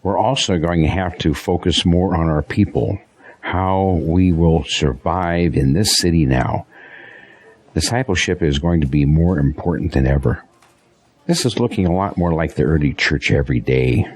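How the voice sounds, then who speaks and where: An actor playing a devout, steadfast pastor in an audio drama, speaking in an earnest, resolute way. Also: male voice, low-pitched, moderate pace, darkish tone, husky. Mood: resolute, grave. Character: devout, steadfast male pastor facing persecution, married.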